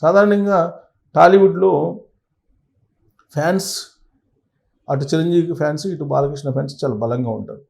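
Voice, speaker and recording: A man's voice: a little high, a little fast, gravelly, long pauses, darkish tone. A man presents conversational news commentary and analysis, stressing points emphatically and repeating phrases. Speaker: presenter of a film news channel.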